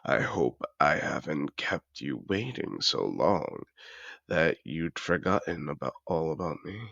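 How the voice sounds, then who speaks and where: A suave male dragon in aristocratic disguise, acted out by a man, speaks with courtly, seductive polish in a fantasy audio romance. The voice is extremely deep and creaky, swooping through its range as it savours formal phrases. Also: expressive, unhurried, a bit bright, no room sound, lo-fi sound, exaggerated voice. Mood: teasing, seductive. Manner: courtly, formal, smooth-talking.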